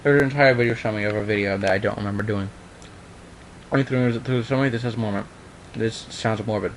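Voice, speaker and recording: Young adult male, lowish voice, amateur story reader with a loose conversational streak; faint noise on the recording. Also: quick pace. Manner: informal, breaks off into offhand remarks. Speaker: online video maker reading a horror story aloud. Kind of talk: amateur dramatic reading with casual asides. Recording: noisy amateur home recording.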